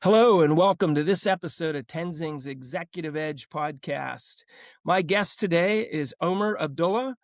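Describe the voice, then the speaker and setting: A man's voice: on the high side, normal speed, no echo, clean studio sound. A man hosting a business podcast, introducing his guest and asking questions in a friendly, loosely rambling way. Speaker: podcast host. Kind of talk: interview hosting.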